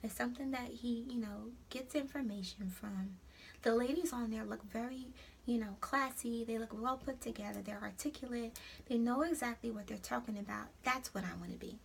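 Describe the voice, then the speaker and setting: A woman's voice: a little high, normal speed, creaky, a little hiss or hum. A young woman with a New York accent, hosting a casual motivational vlog and encouraging her viewers, calling them honey. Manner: warm, preachy and encouraging, calls viewers honey. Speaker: host of an encouragement site.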